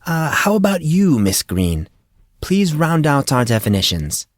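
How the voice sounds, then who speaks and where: A man's voice: on the high side, very animated, measured pace, dry recording, clean studio sound, voice pushed up. A man voicing a male schoolteacher who questions his class in a formal, precise, commanding way for an audiobook. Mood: questioning.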